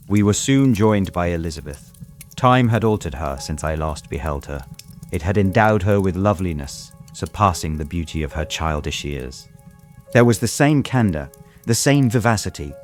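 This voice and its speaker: An actor playing a tormented young gentleman who narrates a dramatized audiobook in an earnest, formal manner. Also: sits low. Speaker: narrator and lead character.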